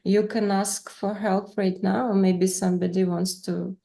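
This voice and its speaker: A young woman with a Ukrainian accent hosts a casual video-call interview. She talks at an unhurried pace, pausing often and searching for her words. Her native Russian shows through the way she speaks. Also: mid-range voice, little pitch movement, gravelly, mellow. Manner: hesitant, searching for words, frequent fillers.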